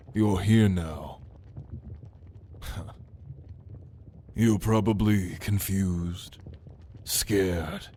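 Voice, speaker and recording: A deep-voiced, prideful demon played by a man speaks slowly and deliberately, with mocking menace. His murmurs slip toward whispering in an intimate ASMR roleplay, over noticeable background noise. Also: mellow. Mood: mocking amusement. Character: sinister, prideful male demon, a prince's cunning right hand. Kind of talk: ASMR roleplay monologue to the listener.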